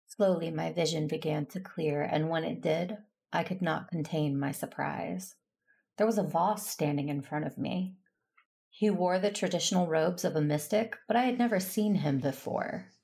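Female actor low gravelly voice, composed, diplomatic, wary space knight, first-person drama narration. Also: moderate pace, a little mellow, full character voice. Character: composed, diplomatic woman Jedi master, wary.

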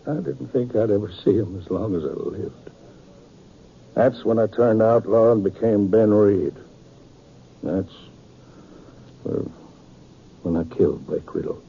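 Gravelly, bitter old-West outlaw. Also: male voice, on the low side, highs cut off, full character voice. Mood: bitter confession. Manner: halting, heavy-hearted. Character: aging outlaw father, bitter, remorseful, confessing. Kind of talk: 1950s radio western acting. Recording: noisy, band-limited 1950s radio recording.

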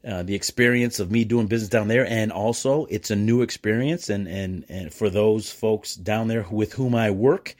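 A middle-aged man with an American accent and a fairly low voice talks casually on a solo podcast. He is chummy and animated, slipping into quoted exchanges as he tells his stories. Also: moderate pace, few pauses. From United States. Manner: animated, self-describedly chummy and jokey. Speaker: podcast host.

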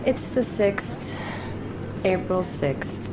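An actress playing an unhappy, needling wife in an audio drama, pointed and challenging in a naturalistic way. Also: female voice, medium pitch, expressive, drawn-out words, over loud music, poor audio. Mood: testing, unimpressed.

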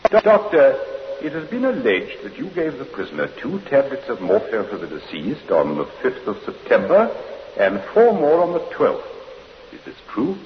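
Prosecutor grilling witnesses, theatrical swoopy delivery, echoey old radio courtroom. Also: male voice, medium pitch, huge pitch swings. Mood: probing. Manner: formal, deliberate questioning. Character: probing, theatrical prosecuting barrister. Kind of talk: 1950s radio courtroom drama acting. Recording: echoey, muffled, hissy old radio recording.

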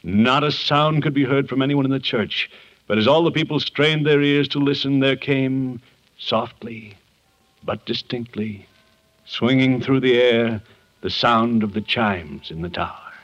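A man's voice: mid-range voice, measured pace, fairly bright tone, close to an everyday voice. An American actor in 1950s radio style playing a kindly, sheepish middle-aged man who tells a Christmas story expressively and unhurriedly. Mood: earnest, reverent. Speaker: lead actor of a radio comedy. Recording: dull vintage 1950s radio recording.

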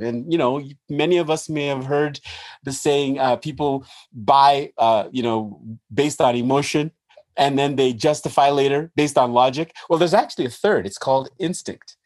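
A man from Nigeria, a podcast guest, explaining ideas in an animated way and laughing as he talks. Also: fairly high pitch. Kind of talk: casual interview talk.

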